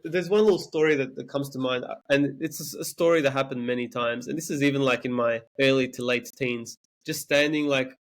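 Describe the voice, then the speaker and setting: Young Serbian-born man, interview guest, reflective and candid, mid-range voice with some stammered restarts. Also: mid-range voice. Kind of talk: reflective personal storytelling.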